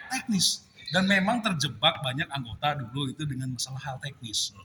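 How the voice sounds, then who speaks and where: A man's voice: medium pitch, fairly quick, bright, forceful tone, slight background noise. A man on a discussion panel, talking animatedly and laughing as he acts out gestures.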